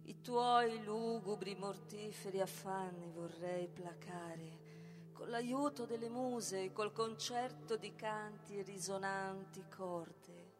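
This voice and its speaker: An anxious, loyal nurse from Greek tragedy, grave and imploring. She declaims extremely slowly in a mid-range voice, as in a radio stage reading. Music is clearly heard behind her. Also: mid-range voice. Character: anxious, pleading, loyal nurse of a tragic heroine. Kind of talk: theatrical tragic dramatic reading.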